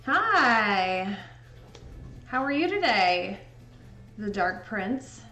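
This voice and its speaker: A young woman from Indiana with a Midwestern accent, chatting casually with viewers during a livestream while she edits photos. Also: medium pitch. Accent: Midwestern American. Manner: slow, relaxed, chatty with frequent laughs. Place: indoor home room. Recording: echoey room with faint music.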